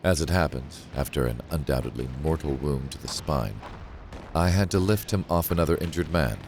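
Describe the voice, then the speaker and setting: A man narrating a thriller audiobook in the first person, in a measured, brooding and dramatic way. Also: extremely low pitch, darkish tone, slight background noise.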